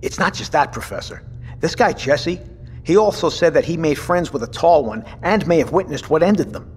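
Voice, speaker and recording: Man as measured captain, wide-ranging voice, reverberant. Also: fairly high pitch, lively intonation, close to an everyday voice. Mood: matter-of-fact. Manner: measured, thoughtful. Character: measured, courteous male captain and father leading a settlement. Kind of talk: audio drama acting. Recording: reverberant room with faint noise.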